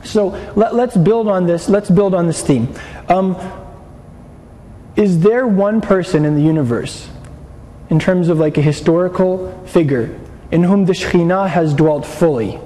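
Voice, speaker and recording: A man with a slightly raised yet mellow voice teaches a class, explaining patiently and putting questions to his listeners. His voice carries the long echo of a hall, over a faint hum of room noise. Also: high voice, measured pace. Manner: explanatory, asks the class questions, frequent restarts. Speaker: Bible teacher.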